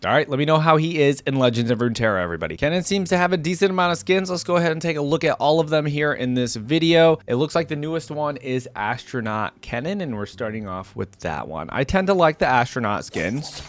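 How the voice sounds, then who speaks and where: A young man giving casual, expressive reaction commentary as a video host, easily amused. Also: fairly high pitch, fast talker, few pauses. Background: game audio and music.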